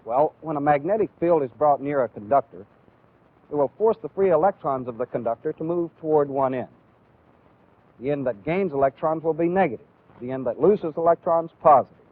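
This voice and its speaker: An American man giving a methodical, deliberate instructional lecture as a training film instructor. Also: fairly high pitch, animated. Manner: deliberate, methodical, clearly explanatory. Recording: phone-like, band-limited old training film audio.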